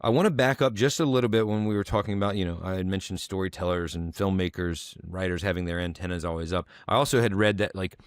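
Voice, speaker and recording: A man's voice: low-pitched, steady tone, fast talker, few pauses, clean tone, dry recording, clean studio sound. A man hosting an interview podcast, asking long, enthusiastic questions with plenty of fillers. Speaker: podcast host. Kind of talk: casual interview.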